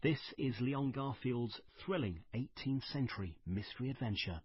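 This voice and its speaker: A man's voice: medium pitch, lively intonation, moderate pace, dull audio. A male radio continuity announcer reading a scripted introduction to a drama.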